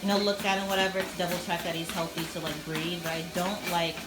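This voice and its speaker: A woman chatting casually in a vlog while training her dog, rambling and coaxing him along in a high sing-song voice. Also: fairly even intonation, fast talker, noisy background. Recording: roomy echo with loud music behind.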